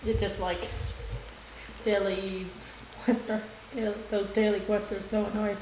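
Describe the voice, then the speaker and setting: A woman's voice: mid-range voice, level tone, measured pace, noisy background. A woman chatting casually as she livestreams a video game, with game music behind her. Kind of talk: casual livestream gaming chat. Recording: echoey room, music behind.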